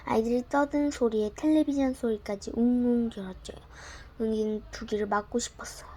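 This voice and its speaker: A young woman gently reading a bedtime story aloud, often dropping close to a whisper. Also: a little high, fairly even intonation, a little fast, slight background noise. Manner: gentle, soft-spoken, often near a whisper.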